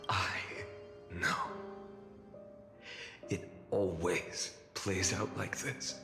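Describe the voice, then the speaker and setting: A man acting a grimly resolute, world-weary immortal warrior in a video game, solemn and detached in short halting phrases. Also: deep voice, fairly even intonation, creaky, exaggerated voice. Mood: weary detachment. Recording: long echoing reverb, game music behind.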